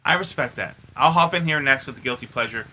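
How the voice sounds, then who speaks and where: A man's voice: medium pitch, quick pace, pushed and bright, a little hiss or hum, rough recording. A man co-hosting a podcast in casual chat about films, speaking emphatically and swearing freely. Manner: emphatic, profane. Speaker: podcast co-host.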